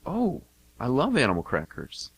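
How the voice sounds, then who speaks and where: A man's voice: mid-range voice, wildly swooping pitch, moderate pace, creaky, breathy edge, exaggerated voice, poor audio. A man playing a shy, awkward, stammering young man in an amateur radio comedy. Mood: shy, flustered. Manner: awkward, stammering, eager to please. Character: shy, flustered young man in bird costume selling candy.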